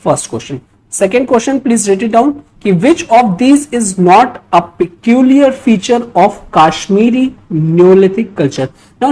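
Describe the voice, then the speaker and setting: An Indian woman teaches history for exam students in a mellow, soft-edged voice. She reads out questions and explains them in a mix of Hindi and English, in an instructional way with frequent little check-in tags. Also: mid-range voice. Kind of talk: explanatory lecture mixing Hindi and English, reading out quiz questions.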